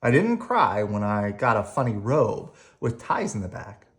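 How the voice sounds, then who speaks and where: Slow, expressive children's storybook reader. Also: medium pitch. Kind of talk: expressive picture-book read-aloud for children.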